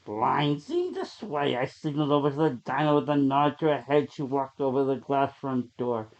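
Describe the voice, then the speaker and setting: A man's voice: mid-range voice, expressive, moderate pace. Man narrating horror, dull lo-fi recording. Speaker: story reader. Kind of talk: dramatic horror story narration. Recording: dull, lo-fi sound.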